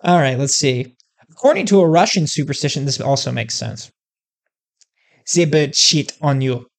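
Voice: medium pitch, moderate pace, vocal fry. Profane, ranting video host. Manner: profane, emphatic, animated ranting. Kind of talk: comedic rant to camera.

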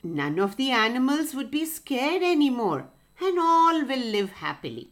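A woman voicing a clever male rooster who talks calmly and teases the fox. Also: high-pitched, moderate pace, pitched above the performer's own voice. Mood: sly. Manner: teasing.

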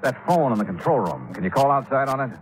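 A male actor plays a tough American detective in a 1950s radio drama. He is clipped and commanding, with a very animated, mid-pitched voice on a vintage broadcast recording. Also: fast talker, lightly acted. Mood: probing, commanding. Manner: clipped, commanding, hard-boiled. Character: tough, probing freelance male insurance investigator. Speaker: narrator and lead actor.

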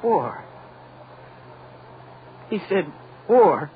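Dutiful young crewman in an old forties radio play, highish voice, tinny with faint hiss. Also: sing-song, drawn-out words, heavily acted. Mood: shaken, straining. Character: dutiful, deferential young crewman and radio operator on rocket ship. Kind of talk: 1940s radio acting. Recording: thin, faintly noisy 1940s radio recording.

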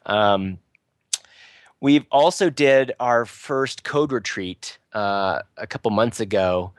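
A man's voice: lowish voice, takes it slowly, dry recording. Chatty man with a dry close sound. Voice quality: fairly loud voice. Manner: loose, chatty, many restarts and fillers. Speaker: local Ruby user group organizer. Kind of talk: casual podcast panel talk.

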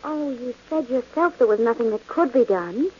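A woman's voice: a little high, expressive, moderate pace, lightly acted. An actress playing a worried, devoted girlfriend in a 1940s radio drama, on a dull, hissy old broadcast. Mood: concerned. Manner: pleading, worried. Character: anxious devoted young woman, girlfriend.

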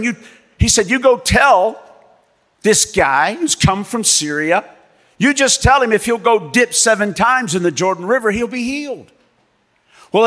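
A man preaching a sermon as pastor and missionary, telling stories with animated delivery and acting out the people in them. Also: sits high. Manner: animated storytelling preacher, acts out voices in quotes. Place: church. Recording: long reverb of a church hall.

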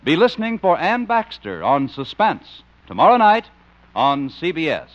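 A man announcing for 1950s network radio from a script. His voice is high, with lively inflection. The old recording is slightly dull, with faint music. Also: normal speed. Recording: muffled vintage radio broadcast, music behind.

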